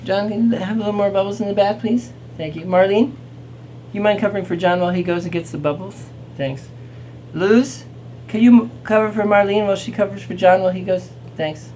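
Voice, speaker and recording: A woman's voice: medium pitch, fairly quick, dark tone, slight background noise. A woman hosting a talk show in casual, playful chat, rambling along in a whimsical way.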